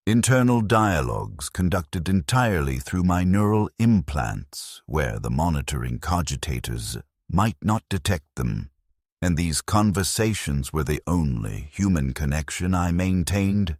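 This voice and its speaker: A man narrating a first-person story in a grave, measured, scripted delivery. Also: very deep voice, breathy edge, no room sound, clean studio sound.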